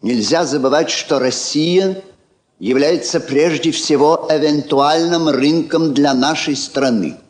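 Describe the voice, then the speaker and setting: A man's voice: a little high, slow pace. An actor playing an urbane, wryly ironic elderly king who philosophizes, in a Soviet radio play. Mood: wry, amused. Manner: wry, philosophizing.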